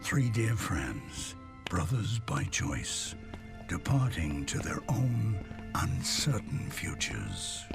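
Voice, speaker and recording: A grave narrator of a sci-fi audio drama, a man with a gravelly, very deep voice. His delivery is measured and solemn, with music playing behind him. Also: very animated, heavily acted.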